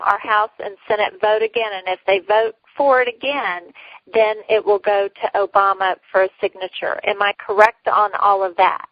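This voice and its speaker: A woman hosting a conference call by phone, explaining conversationally in a somewhat halting way. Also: mid-range voice, no room sound. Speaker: host. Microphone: telephone. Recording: dull lo-fi phone conference line.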